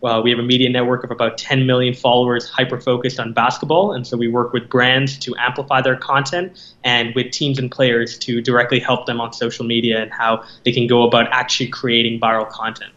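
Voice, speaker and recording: A man's voice: mid-range voice, little pitch movement, fairly quick, fairly bright tone. A man in his twenties, a podcast guest, telling his story in an easygoing, conversational way. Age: 20s. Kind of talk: podcast interview. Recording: dull, echoey remote line.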